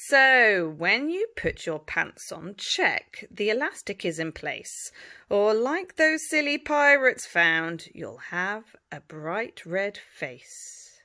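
A middle-aged woman reading a picture book aloud as a bedtime story, with dramatic delivery and hushed asides. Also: mid-range voice, very animated, slow pace.

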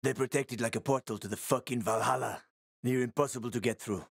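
A man's voice: medium pitch, fairly even intonation, brisk, gravelly, slightly rough, no room sound, clean studio sound. A male actor voicing a wry, streetwise survivor guide and ex-soldier in a video game, with colloquial, foul-mouthed talk. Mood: sly, confiding. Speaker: video game companion character.

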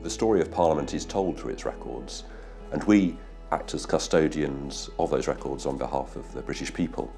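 A man in his forties giving a measured, formal documentary interview about running an archive. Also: extremely low pitch, vocal fry, mellow. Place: wood-panelled office. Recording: faint music underneath.

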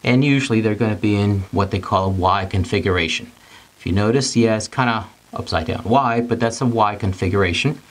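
Male instructor, middle-aged, lowish pitch, plain-spoken and informal, explains technical material in small repeated steps. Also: a little fast. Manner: plain-spoken, repeats and rephrases points step by step. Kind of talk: whiteboard lesson, informal explanation.

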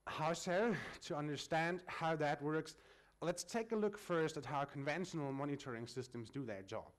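A man's voice: on the high side, moderate pace. A man from Germany giving a technical conference presentation at a lectern, explaining in a friendly way with frequent filler sounds. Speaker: conference speaker.

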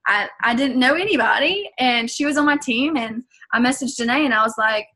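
A woman's voice: fairly high pitch, fairly quick, bright, forceful tone. Chatty young Southern woman. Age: young adult. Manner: chatty, filler-heavy, earnest. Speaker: fitness business coach. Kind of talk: casual vlog talk to camera.

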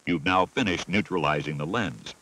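Deep-voiced American man narrating instruction. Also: moderate pace, pushed and bright, rough recording. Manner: clear, methodical, instructive. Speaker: narrator of a military training film. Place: voice-over recording.

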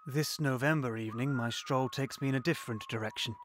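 An actor playing an older man recalling his wartime childhood, narrating in a measured, reflective, lyrical way. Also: mid-range voice, a bit bright, lightly acted. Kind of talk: scripted first-person narration. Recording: clear ambient noise behind voice.

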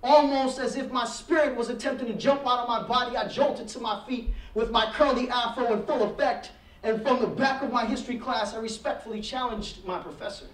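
A man's voice: extremely high pitch, steady tone, fairly quick, a bit bright. Man speaking in an echoey classroom. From Trinidad. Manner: reflective storytelling, gestures while speaking, rising intensity. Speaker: guest speaker. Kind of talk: personal talk at a heritage month event. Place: classroom with projector screen. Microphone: podium mic, speaker standing away from it. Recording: echoey room from far off.

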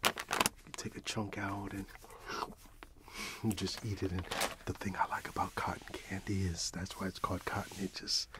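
A middle-aged man in a soft-spoken ASMR roleplay as a friendly candy shop owner, talking gently and unhurriedly close to the microphone. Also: deep voice, flat delivery, poor audio. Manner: gentle, whispery, unhurried customer service.

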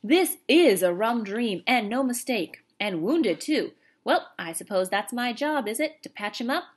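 A woman's voice: fairly high pitch, animated, measured pace, slightly rough. A woman voicing a cheery male soldier orderly, hearty and slangy in his talk.

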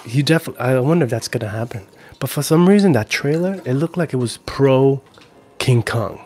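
A man's voice: medium pitch, expressive, fairly quick, a little mellow, slightly rough. Casual male livestreamer, forties, mid-range voice, playful and easygoing, close-miked in a home room. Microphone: handheld-style recorder on a stand, close up.

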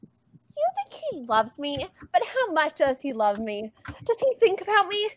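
A woman's voice: high-pitched, big pitch swings, normal speed, a little hiss or hum. A woman voicing a lovestruck, melodramatic teenage girl duck in a comic parody dub, with gushing, dramatic delivery. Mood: lovesick pining. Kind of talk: live comic dub reading.

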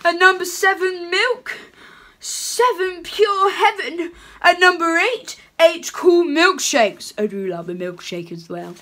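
Young girl putting on a jolly father christmas, bright high voice, ho ho ho, reading a kids book at home. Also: lively intonation, takes it slowly, exaggerated voice. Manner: lowered playful voice, chuckling ho ho ho. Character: jolly male Father Christmas, chatty storyteller. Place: home room with curtains.

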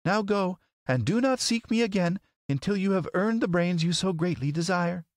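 A man's voice: high voice, lively intonation, moderate pace, dry recording, studio quality, voice pushed up. A man voicing a lovely winged lady wizard in a storybook, sweet yet haughty and regal. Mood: haughty, dismissive. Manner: regal, lofty. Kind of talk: storybook character voice.

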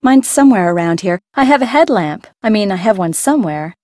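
A woman's voice: mid-range voice, expressive, brisk, darkish tone, no room sound, natural voice. Earnest, slightly frazzled young scientist, a woman voicing her for a game; dry studio take. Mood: friendly. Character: young woman scientist hosting a guest on an island. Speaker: game character. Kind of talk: video game voice acting.

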